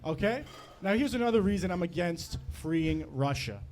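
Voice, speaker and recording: A man's voice: high-pitched, lively intonation, moderate pace, a little mellow, slight background noise. A man giving a crude, profane comedy rant in a mock debate. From United States.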